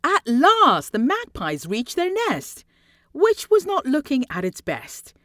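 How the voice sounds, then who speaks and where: A woman reading a rhyming children's story aloud in an expressive, theatrical way with deliberate phrasing and dramatic emphasis. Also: high voice, no room sound, clean studio sound. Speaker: storybook reader.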